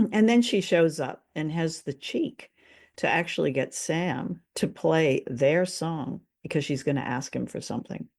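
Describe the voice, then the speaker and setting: A woman's voice: deep voice, measured pace, vocal fry, darkish tone. A woman co-hosting a podcast in a casual, conversational film discussion. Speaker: podcast co-host.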